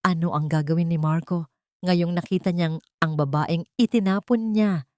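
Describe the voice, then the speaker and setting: A woman narrating a scripted dramatic story in a measured storytelling manner. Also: on the low side, fairly even intonation, darkish tone, dry recording, clean studio sound.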